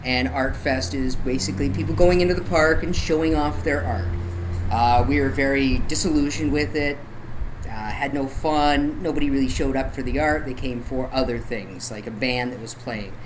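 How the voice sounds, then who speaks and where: A man's voice: mid-range voice, fairly even intonation, moderate pace. Blunt man, light room noise. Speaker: podcast guest, local artist. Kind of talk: casual interview chat. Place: art gallery, recorded on location.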